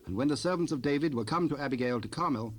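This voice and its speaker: A male narrator with a medium-pitched voice reads scripture as a formal story. His delivery is measured and scripted. It comes from a cassette rip with faint noise. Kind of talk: scripted scripture narration, King James Bible.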